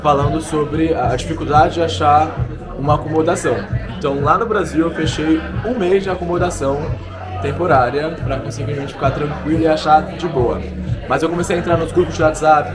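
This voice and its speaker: A young man from Minas Gerais, an exchange student chatting and joking about his life abroad in a pub. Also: a little high. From Minas Gerais, Brazil. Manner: jokey, chatty, self-mocking. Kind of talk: casual interview. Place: pub, indoors.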